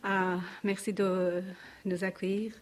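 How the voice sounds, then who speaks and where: A woman in her forties from Iran with a Persian accent, reading her testimony from notes at a church gathering in a careful, measured way with pauses. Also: mid-range voice, flat delivery. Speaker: speaker giving testimony.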